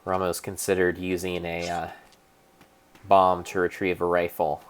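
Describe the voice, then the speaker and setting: A man narrating his own gameplay as a solemn, theatrical dramatic reading, with pauses and small slips. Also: deep voice, completely flat delivery, smooth. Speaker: game streamer narrating play. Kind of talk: mock-dramatic storybook narration over gameplay.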